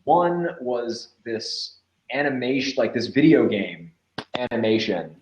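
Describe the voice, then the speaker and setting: A man's voice: mid-range voice, big pitch swings, slow pace. A man chatting casually as an interview guest, talking in a loose, informal way with many asides. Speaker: podcast creator, interview guest. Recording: roomy echo, dull lo-fi sound.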